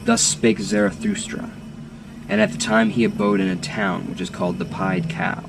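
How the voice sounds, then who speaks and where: A man giving a solemn, measured literary reading in a declamatory, rhetorical manner, as an audiobook narrator. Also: on the low side, wildly swooping pitch, vocal fry, noisy background. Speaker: audiobook reader. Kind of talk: solemn literary reading of archaic philosophical text. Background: music and sound design behind the voice.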